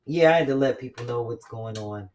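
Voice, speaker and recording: A woman hosting a casual chat, talking in an animated, blunt, profane way with quick asides. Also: rumbling low, distant mic. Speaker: host.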